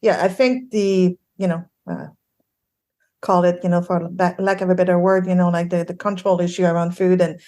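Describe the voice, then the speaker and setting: A woman's voice: on the low side, moderate pace. Chatty woman with a Québécois French accent. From Eastern Quebec. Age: 50s. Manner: animated storyteller, laughs often, many fillers and restarts. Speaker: guest, ADHD coach and sleep expert. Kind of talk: casual podcast interview.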